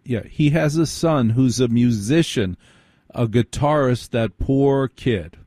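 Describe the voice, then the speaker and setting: An American man hosting a live call-in radio show, blunt and sardonic, quick to cut in. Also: mid-range voice, dark tone, dry recording, studio quality.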